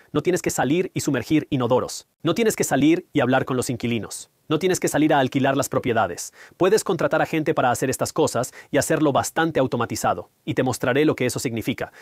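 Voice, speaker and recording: A man's voice: mid-range voice, dry recording, clean studio sound. Man giving a direct finance lesson. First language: Punjabi. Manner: direct, explanatory, addresses viewer. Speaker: finance educator. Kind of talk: whiteboard finance lesson, dubbed voice-over.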